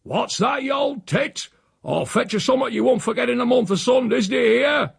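Storybook old uncle: rural English, cantankerous, roguish, very husky, swooping wildly in pitch. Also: male voice, huge pitch swings, slow, no room sound, studio quality, heavily acted. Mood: bellowing, irascible. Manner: goading, blunt, dialect-speaking.

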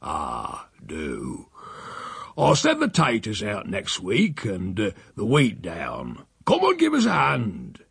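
Man putting on a gravelly, wheezing old country uncle. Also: on the low side, wildly swooping pitch, a bit bright, dry recording, studio quality, pitched below the performer's own voice, heavily acted. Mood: drowsy. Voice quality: gravelly, breathy, slow rustic drawl. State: short of breath. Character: wily bedridden old countryman uncle, gruff.

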